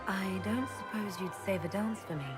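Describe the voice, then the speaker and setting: A woman's voice: mid-range voice, moderate pace, natural voice. A woman playing a composed, wry female leader in a dramatic video game, with a dry delivery. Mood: teasing. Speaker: military-religious leader. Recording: reverberant hall with music behind.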